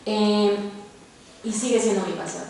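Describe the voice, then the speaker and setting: A woman in her twenties with a Mexican accent speaks in public about her own life. Her voice sits at an average pitch, and her phrasing is hesitant and conversational, dotted with tag questions. The recording is heavily reverberant, with faint noise underneath. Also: steady tone, measured pace, mellow. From born in Mexico, grew up in Los Angeles, United States. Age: 20s. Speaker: guest speaker, activist and veteran. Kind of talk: personal testimony in a public talk. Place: large university lecture hall, indoors. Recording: echoey hall, distant mic, faint noise.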